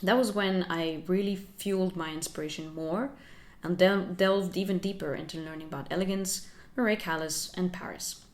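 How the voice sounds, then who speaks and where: A young woman talks through her learning journey for her channel. She is soft-spoken and reflective, with a slightly low voice, and she laughs lightly as she goes. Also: steady tone, normal speed, few pauses. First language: Finnish. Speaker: channel host. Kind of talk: casual talking-head vlog.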